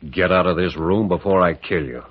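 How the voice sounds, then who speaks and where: Hard-boiled captain: husky mid-range voice, blunt, threatening, vintage muffled radio sound. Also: male voice, sits low, a little fast. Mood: angry, threatening. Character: tough, hot-headed male captain, heroic adventurer with a gun. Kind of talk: old-time radio drama acting.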